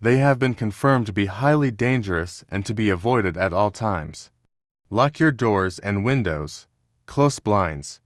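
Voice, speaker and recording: A man's voice: lowish voice, dry recording, clean studio sound. A man playing a grave emergency alert announcer who reads doomsday warnings in a formal, solemn manner. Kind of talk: scripted emergency broadcast announcement.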